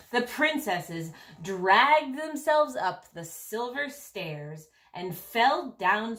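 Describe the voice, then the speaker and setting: A woman reading a picture book aloud in an expressive way, with emphatic stresses and drawn-out phrasing. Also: on the low side. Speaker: storybook reader.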